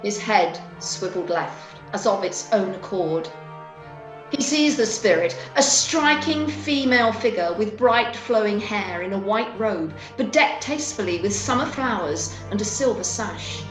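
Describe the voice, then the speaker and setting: A woman narrating a scripted story in a deliberate storytelling manner. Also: mid-range voice, lively intonation, faint music behind. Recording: roomy echo.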